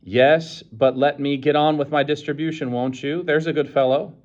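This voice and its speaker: Guy doing a fussy pill-handing official, brisk then coaxing, dull lo-fi audio. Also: on the high side, fairly even intonation, darkish tone, voice pushed up, natural voice. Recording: dull lo-fi recording.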